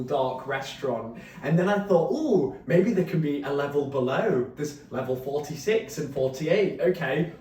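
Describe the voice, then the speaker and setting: A man giving an informal author talk, explaining his writing process in a rambling, animated way. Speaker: novelist discussing his book at a reading. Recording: roomy, distant mic, faint rumbling noise.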